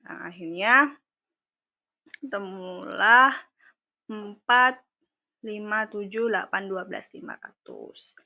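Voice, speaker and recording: Bright, dry-sounding young woman. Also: medium pitch, sing-song, slow pace, creaky, long pauses, no echo. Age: young adult. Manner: hesitant, with frequent fillers. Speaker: accounting student presenter. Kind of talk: class presentation, walking through calculations.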